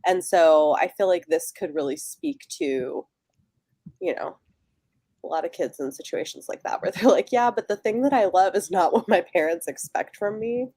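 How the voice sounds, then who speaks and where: A woman's voice: lowish voice, expressive, normal speed, vocal fry, warm tone, no room sound. A woman talking casually and reflectively in a book discussion on an online call. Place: live online video call.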